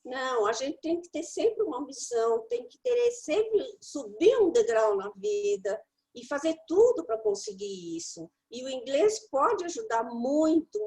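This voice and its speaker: Lively high-voiced Brazilian woman. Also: rough recording. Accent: Brazilian Portuguese. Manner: emphatic, storytelling. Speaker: guest English teacher. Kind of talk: casual conversation.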